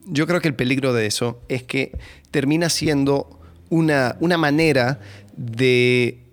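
A man in a casual conversation on a church talk show. He speaks at an ordinary middle pitch, often hesitating and restarting, and he slips in dry, wry remarks. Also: unhurried. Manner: hesitant, many fillers and restarts, wry humor. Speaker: co-host.

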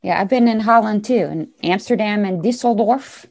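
A middle-aged woman hosting a podcast interview, eager and chatty with quick interjections. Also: mid-range voice, vocal fry, a little mellow. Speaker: podcast host, modeling scout.

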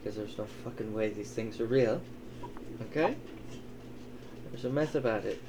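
A man voicing a gruff, doting old elven father who swears and teases in an improvised tabletop roleplay. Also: on the low side, normal speed, lightly acted. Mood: grave storytelling. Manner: wry, teasing, swearing. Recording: clearly noisy, ambient sound behind.

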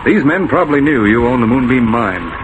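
Male actor as firm, deliberate Mountie sergeant, mid-range American voice, vintage radio serial with audible noise. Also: medium pitch, expressive, muffled recording, lightly acted. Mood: commanding. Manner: deliberate, authoritative. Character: steady, commanding Mountie sergeant, fond of his dog. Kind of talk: 1940s radio acting. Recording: noisy vintage 1940s radio recording.

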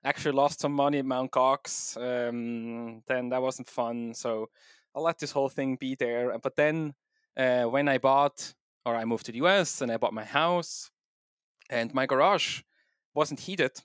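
A man's voice: mid-range voice, a little slow, clean tone, no echo, studio quality. A man with a Swiss German accent, a podcast guest talking casually and at length, with many fillers. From Switzerland. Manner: relaxed, rambling with many fillers. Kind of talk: casual interview talk.

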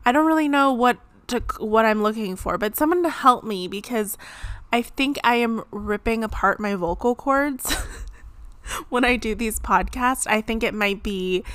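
A woman's voice: mid-range voice, a bit bright, clean tone, dry recording. Woman, podcast host, casual rambling chat, a bit strained, dry close recording. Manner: rambling, chatty, frequent "like" and laughs. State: slightly strained voice. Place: home, seated.

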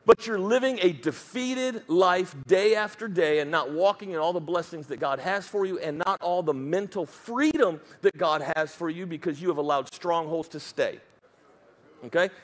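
A man's voice: high-pitched, animated, normal speed. A man with a Southern US accent preaching a sermon as pastor, emphatic and dropping joking asides.

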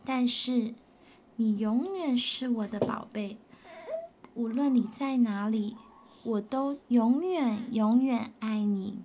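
A woman voicing a loving mother dinosaur in a storybook, speaking softly and tenderly. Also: fairly high pitch, slow, a little hiss or hum. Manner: soft, tender, calling out.

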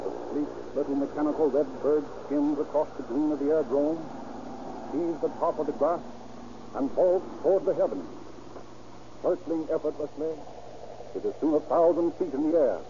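A man's voice: fairly high pitch, normal speed. A man narrating a 1930s radio drama in a vivid, dramatic style, on a noisy lo-fi recording. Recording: dull lo-fi radio recording with clear noise.

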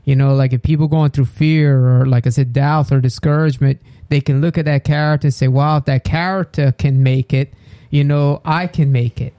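A man's voice: fairly high pitch, fairly even intonation, brisk, dark tone. A man in casual conversation about writing, speaking earnestly and loosely with many fillers. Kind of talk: casual chat.

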